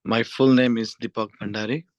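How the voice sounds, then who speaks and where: A man from Nepal answering speaking test questions, hesitant with many pauses and restarts. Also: mid-range voice, steady tone, no echo, highs cut off. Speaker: test candidate. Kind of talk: mock speaking exam answers.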